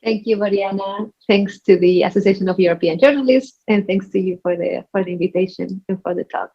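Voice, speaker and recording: Woman from Spain, husky voice, medium pitch, conversational guest speaker, muffled video-call sound. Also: brisk. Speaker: podcast producer and guest speaker. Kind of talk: conversational thanks at an online talk. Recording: dull, band-limited online call sound.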